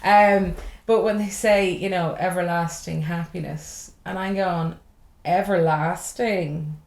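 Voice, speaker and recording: A woman's voice: fairly low pitch, steady tone, slow pace, a little mellow, roomy sound. An Irish woman who is a stand-up comedian, chatting casually on a podcast, swearing freely and rambling from joke to joke. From Ireland.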